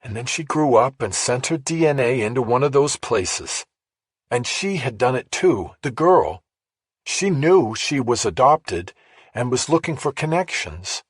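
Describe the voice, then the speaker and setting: A man voicing a grieving, guilt-ridden middle-aged mother in an audiobook, sorrowful and halting. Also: medium pitch, animated, husky, no room sound, studio quality, voice pushed up. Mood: confessing, regretful. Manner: sorrowful, halting, bitterly resigned.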